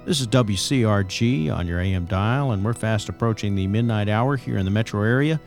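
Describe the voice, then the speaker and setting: A man's voice: lowish voice, a little fast, lightly acted. An actor playing a late-night paranormal radio host in an audio drama, with polished, showman-like patter. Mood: inviting, showy. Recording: faint music under the voice. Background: faint music.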